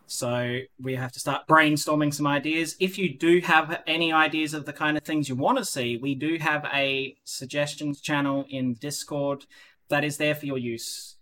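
A man's voice: on the high side, steady tone, moderate pace, pushed and bright. A man hosting a casual online community podcast, rambling with lots of fillers and restarts. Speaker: podcast host.

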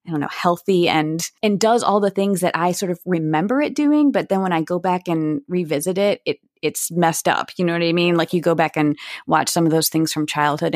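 A woman hosting a conversational podcast interview, speaking in a reflective, measured way. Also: fairly low pitch, a little mellow, dry recording, clean studio sound. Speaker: podcast host interviewing a guest.

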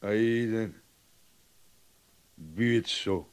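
A man's voice: on the low side, fairly even intonation, drawn-out words, over loud music. An actor playing a proud, suspicious Sioux chief and father in a radio western, declaiming solemnly in clipped broken English. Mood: resigned. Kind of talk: old radio western acting.

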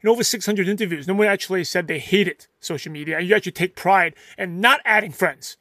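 Man, podcast host, high voice, probing questions, conversational. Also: fast talker, bright, forceful tone, no room sound, clean studio sound. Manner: probing follow-up questions, some restarts. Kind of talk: interviewer asking questions.

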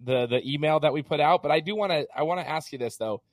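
A man hosting a podcast interview in a casual, chatty way, hoarse as he gets over an illness. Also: on the high side, fast talker, dry recording, clean studio sound. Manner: chatty, many fillers and restarts. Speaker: podcast host.

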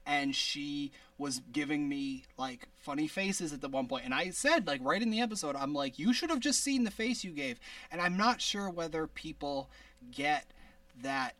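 A man with a high-pitched voice hosting a casual podcast, rambling with many restarts and laughs. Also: animated, moderate pace, a bit bright, no room sound, distant mic. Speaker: podcast host.

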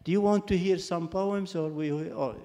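A man speaking English as a second language, halting and searching for words, as a poet on a panel. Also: sits high, steady tone, mellow. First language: not English. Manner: halting, non-native phrasing. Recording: long hall reverb.

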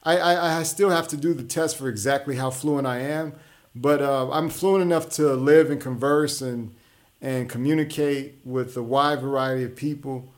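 A man's voice: medium pitch, steady tone, moderate pace. Guy hosting his own show, chatty monologue, lots of restarts and ums, just talking it through. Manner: conversational, explanatory, many restarts and fillers. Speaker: show host. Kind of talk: casual monologue.

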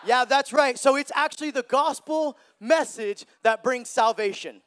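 High-voiced energetic man preaching. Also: normal speed, clear, resonant tone. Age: 30s. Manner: energetic, joking, shouts for emphasis. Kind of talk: sermon.

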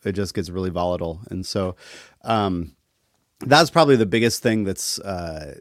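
A man talking casually as a podcast guest, thoughtful and halting, with a wry streak. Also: deep voice, dry recording, clean studio sound. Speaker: interview guest, musician.

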